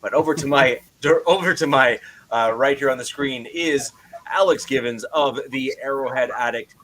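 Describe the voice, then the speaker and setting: A man hosting a casual sports podcast over a video call, loud-voiced and loose, filling his sentences with "uh". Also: mid-range voice, moderate pace, rough recording. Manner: loose, filler-heavy, frequent "uh". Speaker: podcast host.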